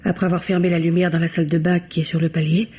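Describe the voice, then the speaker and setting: An actress playing an anxious, deferential housemaid giving testimony in a radio mystery, hesitant and earnest. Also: female voice, fairly low pitch, level tone, fast, warm tone, breathy edge. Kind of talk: French radio mystery drama acting. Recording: dull, noisy old radio recording.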